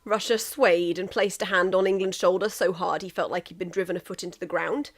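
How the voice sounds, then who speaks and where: A woman reading a comic story aloud in an expressive amateur narration. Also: lowish voice, fairly quick. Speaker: amateur fan fiction reader.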